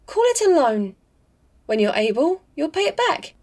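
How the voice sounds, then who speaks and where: A woman's voice: high voice, big pitch swings, moderate pace, a little mellow. A woman playing a devoted, anxious girlfriend with tender pleading in a vintage melodrama style. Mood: caring, firm.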